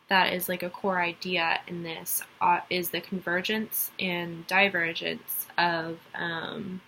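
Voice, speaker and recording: A woman's voice: on the low side, vocal fry, bright, forceful tone, poor audio. A young woman from Dallas with a light Texas accent, giving a casual class presentation and rambling through it with lots of ums and restarts. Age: young adult. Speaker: college student.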